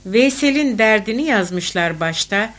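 Female poetry reader: unhurried, declamatory recitation of folk verse with a lively, wide-moving pitch.

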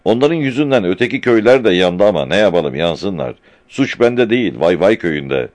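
Menacing henchman of a rural landlord, acted by a male reader, animated, loud proclamations, audiobook drama. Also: fairly low pitch, brisk, voice pushed up, close to an everyday voice. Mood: threatening.

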